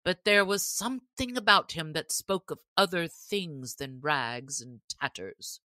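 A woman voicing a haughty, quick-tempered male sheriff from a storybook, speaking in pompous archaic phrases. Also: low voice, bright, forceful tone, dry recording. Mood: brooding.

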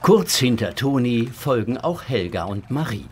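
A man's voice: medium pitch, big pitch swings, normal speed, very noisy. A man narrating a children's audio drama from a script, in the present tense.

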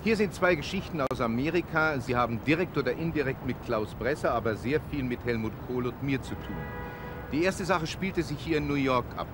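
An older man speaks calmly to camera, looking back on past events. He is on an outdoor city rooftop with steady traffic noise rising around him. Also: mid-range voice, fairly quick. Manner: calm, reflective, retakes lines. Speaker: correspondent. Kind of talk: on-camera recollection to camera.

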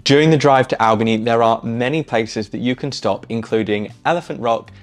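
A man's voice: medium pitch, brisk, soft background music. A young man giving enthusiastic, chatty travel tips to camera while seated in a small living room. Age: young adult. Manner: easygoing, enthusiastic, chatty with fillers. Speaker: travel vlogger. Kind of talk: casual travel recommendations to camera.

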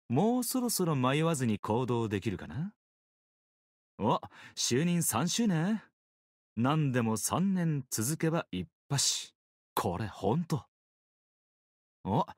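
A man's voice: mid-range voice, breathy edge, dry recording, studio quality, heavily acted. A man playing an easygoing, teasing young swordsman in a mobile game, with a casual, playful drawl. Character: easygoing, teasing young swordsman, laid-back surfer temper. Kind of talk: Japanese mobile game character voice lines.

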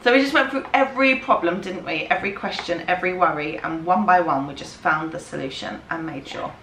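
A woman's voice: on the low side, a little fast, fairly bright tone. A woman in her thirties with an English accent, chatting casually and affectionately with her children as a family vlogger. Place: family home, rooms and garden. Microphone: camera microphone. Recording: noisy handheld home camera audio.

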